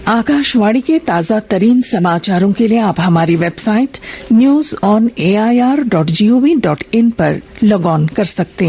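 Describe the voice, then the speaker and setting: A dull, lo-fi radio recording of a woman reading the news. She is formal and measured, with a husky, gravelly edge to her mid-pitched voice as she delivers a scripted bulletin.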